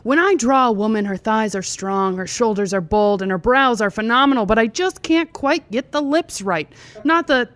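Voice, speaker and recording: A woman's voice: a little high, expressive, moderate pace, a bit bright. A drunk woman voicing an earnest, troubled male artist in a comic story read aloud.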